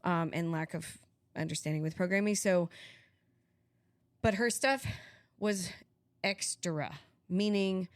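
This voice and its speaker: A woman's voice: on the low side, takes it slowly, pauses often, no room sound, clean studio sound. A woman co-hosting a podcast, telling stories in a casual, conversational way. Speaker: podcast co-host.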